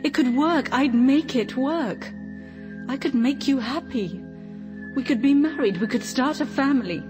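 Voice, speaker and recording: A woman's voice: high-pitched, unhurried. An actress playing an ardent, determined woman in love in a radio drama, earnest and imploring. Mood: pleading. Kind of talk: British radio drama acting. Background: music.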